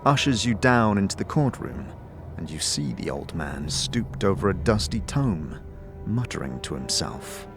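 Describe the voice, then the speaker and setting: A man with a fairly deep voice narrates a fantasy audio drama. His delivery is scripted, measured and dramatic, addressing the listener as the hero, with soft music underneath.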